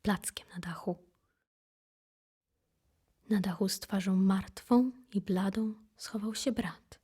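A woman reciting poetry for an audio drama in an intense, solemn, deliberate manner. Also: mid-range voice, mellow, husky. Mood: hushed, anguished. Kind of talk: dramatic poetry recitation, from whisper to impassioned cries. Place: recording studio.

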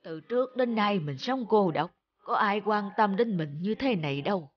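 An actress playing a kind, lonely, poor old village woman in an animated folk tale, plaintive and soft-hearted. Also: mid-range voice, normal speed, muffled recording, lightly acted. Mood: wondering, moved. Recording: music under the voice.

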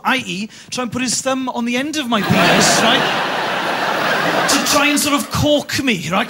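Young Welsh stand-up, high-pitched, handheld mic, TV theatre recording with a loud crowd. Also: male voice, very noisy. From Wales. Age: young adult. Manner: animated storytelling, acting out voices, frequent "uh" and "right?". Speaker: stand-up comedian. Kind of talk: stand-up comedy routine. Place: large theatre stage before a live audience. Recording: TV studio audience recording with loud crowd and laughter. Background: audience laughter.

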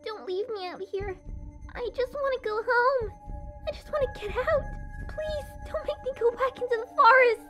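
A woman playing a terrified, tearful young filly, pleading haltingly in a dramatic audio story. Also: extremely high pitch, fairly bright tone, faint music behind. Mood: tearful begging.

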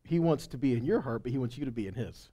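A man's voice: medium pitch, lively intonation, quick pace, warm tone. A middle-aged man teaching a live sermon in a conversational, emphatic way, speaking into a headset mic. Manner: conversational, checking in with listeners. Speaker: preacher and Bible teacher. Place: indoor stage in a meeting room or hall. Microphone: headset microphone.

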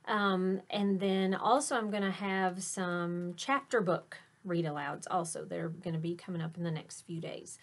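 A middle-aged woman reading a picture book aloud like a teacher, dramatically, drawing out words for effect. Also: medium pitch. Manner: dramatic, with drawn-out words and emphatic exclamations. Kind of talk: expressive children's read-aloud. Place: small indoor space with fabric backdrop.

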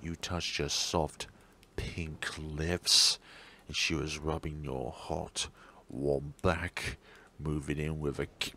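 A man's voice: extremely low pitch, level tone, takes it slowly, bright, forceful tone, voice pushed down, heavily acted. A man voicing a playful, teasing teenage schoolboy from a visual novel, breezy and sing-song.

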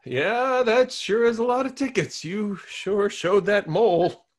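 A man's voice: high-pitched, unhurried. A man reading a meek, goofy boyfriend in a comedy table read. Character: timid goofy man on a date.

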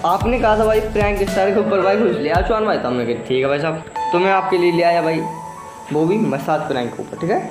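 Young male vlogger, high voice, pleading and repetitive, echoey phone recording with music underneath. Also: lively intonation, fast. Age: young adult. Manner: repetitive, pleading appeals to viewers. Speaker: reaction video host. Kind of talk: casual vlog commentary to camera. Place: small indoor room. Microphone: phone at arm's length. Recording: echoey room with loud music behind.

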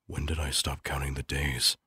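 A man playing a melancholy, lonely exiled skeleton scientist in a comic dub, formal and brooding, with a breathy, near-whispered voice. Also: a bass voice, flat delivery, no room sound, clean studio sound, heavily acted. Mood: sorrowful, musing. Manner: brooding, formal, slow musing. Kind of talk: dramatic comic-dub monologue. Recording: loud music under the voice. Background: loud music.

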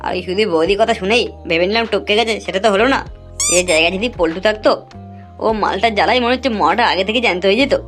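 Woman playing a fast, blunt cartoon gossip. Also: medium pitch, a bit bright, lightly acted. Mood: blunt grumbling. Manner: blunt, crude, chatty. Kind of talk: amateur comic cartoon dub. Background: faint background music.

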